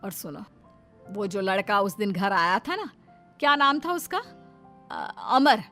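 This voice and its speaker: A woman's voice: medium pitch, very animated, measured pace, bright, forceful tone, full character voice. A woman voicing an older mother-in-law in a cartoon moral story, in a dramatic, earnest style. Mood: gentle, coaxing. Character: older mother-in-law, stern then remorseful and caring. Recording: background music under the voice.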